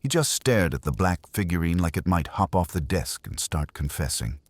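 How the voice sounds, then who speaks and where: A man's voice: deep voice, animated, moderate pace, dry recording. A man narrating a first-person detective audiobook in a wry, hardboiled manner.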